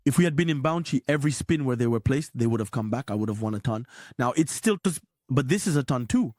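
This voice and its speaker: A hoarse man giving excited, repetitive casino slot commentary as a streamer. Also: medium pitch, fast talker, dry recording, studio quality.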